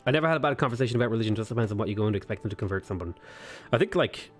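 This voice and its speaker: A man's voice: on the low side, expressive, racing, soft background music. A man in his twenties on a game livestream, casually chatting and joking while he reads viewer comments aloud. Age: 20s. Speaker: streamer.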